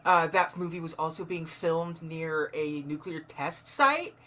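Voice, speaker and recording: Female podcast co-host, lowish pitch, casual and halting film talk with repeated phrases. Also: pushed and bright. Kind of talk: casual chat about films.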